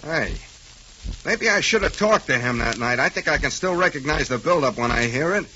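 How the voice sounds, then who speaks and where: On a dull, slightly noisy vintage radio recording, a wry, cowardly sidekick grumbles in slang. The voice is bright and forceful, in 1940s comedy-drama style. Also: male voice, a little high, lively intonation, normal speed. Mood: defensive.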